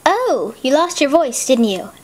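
A performer voicing a smug, laid-back girl who drawls in a lower voice. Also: big pitch swings, unhurried.

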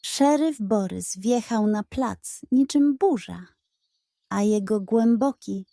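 A woman's voice: medium pitch, slow pace, vocal fry, mellow, dry recording, studio quality. A woman narrating a scripted bedtime story for children.

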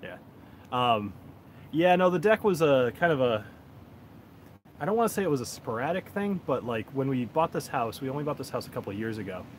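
A laid-back man in his thirties hosts a casual livestream from a backyard deck. He rambles along in a mid-pitched voice, picked up by a phone with clear outdoor noise around him. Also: sing-song, brisk. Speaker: whiskey reviewer, livestream host. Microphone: cell phone on a tripod. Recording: noisy outdoor phone recording.

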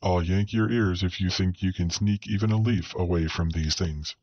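A man voicing a gruff, blunt and bossy male alien pilot in a sci-fi story, in a curt, grumbling way. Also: sits low, steady tone, moderate pace, dull audio, slight background noise, pitched below the performer's own voice. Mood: curt warning. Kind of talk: sci-fi audiobook character.

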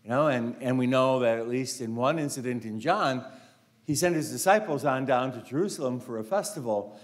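A man's voice: mid-range voice, brisk. An older man, a priest, giving a conversational homily in a large church, full of anecdotes, often saying "you know" and laughing. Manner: chatty storytelling, frequent "you know", laughs easily. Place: large carpeted church sanctuary. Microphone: lapel mic, walking in front of altar.

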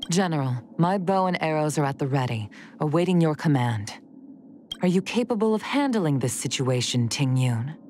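A woman voicing a stern, formal, mature military commander in an anime game, crisp and composed. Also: deep voice, animated, normal speed, dark tone. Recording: faint music behind the voice.

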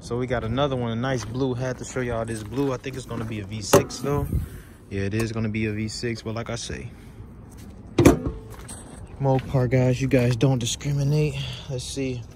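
A man's voice: mid-range voice, fairly even intonation, moderate pace. A man giving a casual, teasing walkaround of wrecked cars at an outdoor auction lot, filmed on a handheld phone, talking to viewers as y'all. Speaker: car flipping vlogger. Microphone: handheld phone camera. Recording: phone video with outdoor noise.

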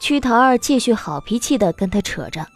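A woman's voice: fairly high pitch, animated, brisk, soft background music. A woman narrating a scripted audiobook story as the narrator of a drama.

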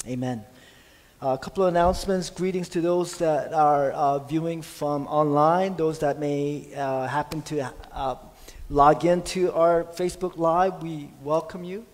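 Earnest pastor praying in a reverberant hall. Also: male voice, a little high, darkish tone. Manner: earnest, halting with fillers. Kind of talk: church prayer and announcements. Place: church sanctuary stage at a pulpit. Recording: long church hall reverb.

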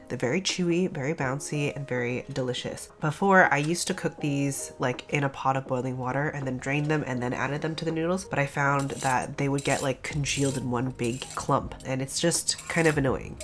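Cooking-channel host, woman, very low-pitched and nasal with a cold, rambling casual voice-over. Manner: chatty, rambling asides with many "like"s. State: congested from a cold.

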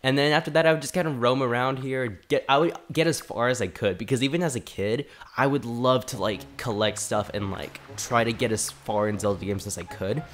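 A man's voice: medium pitch, a little fast, hardly any pauses. A man in his twenties with a cold, rambling through a story while casually commenting on his gameplay. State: has a cold. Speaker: let's play host. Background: game music.

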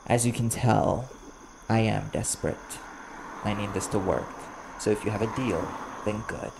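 A man voicing a wary, desperate vampire bounty hunter in an audio roleplay, blunt and guarded, with a gravelly voice. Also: fairly low pitch, steady tone, unhurried. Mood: wary, resolute. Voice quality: gravelly, creaky. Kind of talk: ASMR audio roleplay acting. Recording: clear background noise, chirping crickets.

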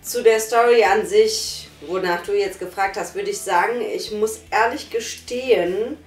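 A tired young woman reviewing a radio drama in casual chat, measured and thoughtful, sitting on a sofa. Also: mid-range voice. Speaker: podcast reviewer. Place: living room, sitting on a sofa. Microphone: far camera. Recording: roomy, far from the mic, faint music.

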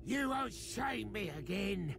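A man's voice: high voice, lively intonation, takes it slowly, very noisy, heavily acted. A man voicing a shamed, vengeful orc warrior in a video game, snarling and wailing through a tormented rant. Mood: vengeful menace. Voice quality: gravelly, snarling. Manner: ranting, wailing, menacing. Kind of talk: video game character acting. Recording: loud music behind the voice. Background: loud game music.

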